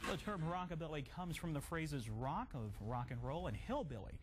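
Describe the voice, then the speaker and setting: A man's voice: on the high side, expressive, moderate pace, over music. A man with an American accent reading scripted local TV news as an anchor and reporter. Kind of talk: scripted local TV newscast delivery. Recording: noticeable background noise.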